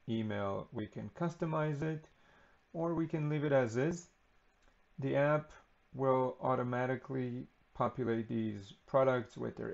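A man giving an unscripted screen-recording walkthrough of software, relaxed and unhurried with frequent ums and uhs. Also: mid-range voice, creaky, dull audio. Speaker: software tutorial presenter.

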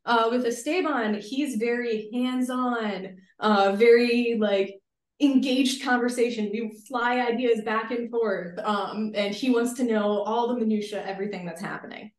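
A young woman in her twenties, a graduate student guest, answering interview questions in an earnest, lively way with many fillers over a video call. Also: a little high, a little slow. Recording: roomy video call audio.

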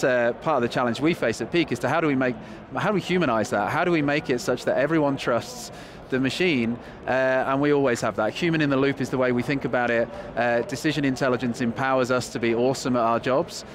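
A man in his thirties with a Northern English accent, answering interview questions quickly and keenly with some fillers, at a convention hall. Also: mid-range voice. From England. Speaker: guest, company chief executive. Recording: busy trade show noise behind.

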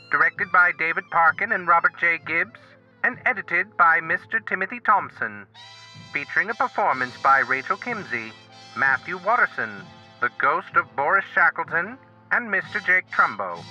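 A man's voice: high voice, bright, forceful tone. A man announcing in old-time radio style, formal and theatrical. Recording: dull lo-fi radio sound, faint music.

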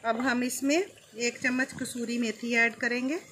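A fairly high-voiced woman, talking a home cook through a recipe step by step. Her delivery is calm and instructive, with faint background noise behind her. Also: measured pace. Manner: calm, explains each step while cooking. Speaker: cooking channel host.

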